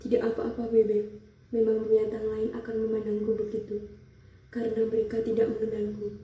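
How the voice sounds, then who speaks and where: A young woman voicing a kind, forgiving lion in a puppet-show fable, calm and friendly. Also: on the high side, a little fast, natural voice. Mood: reassuring. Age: young adult. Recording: muffled, roomy, distant mic.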